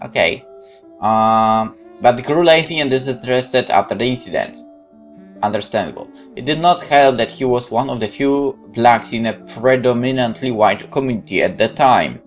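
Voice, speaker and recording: Gamer doing a let's play, reading out story text, hesitant casual asides, music faintly behind. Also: medium pitch. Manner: hesitant, thinking aloud with asides. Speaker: gaming video host. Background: game music.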